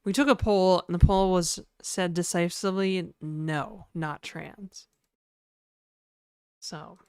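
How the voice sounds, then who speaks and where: A woman from Canada talks to her stream chat in an unhurried, rambling, joking way. Her voice is a bit low and smooth, though hoarse this morning, and the sound is dry and close. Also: warm tone, no echo. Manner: rambling, joking, slips into mock French and a put-on high e-girl voice. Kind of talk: casual live-stream chat with viewers.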